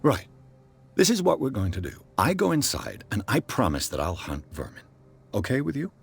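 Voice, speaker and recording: An actor playing a composed, persistent private detective in a video game, speaking in a measured, probing, firm way. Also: male voice, mid-range voice, wildly swooping pitch, vocal fry, breathy edge, soft background music, heavily acted. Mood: firm, persuasive. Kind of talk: video game cutscene acting.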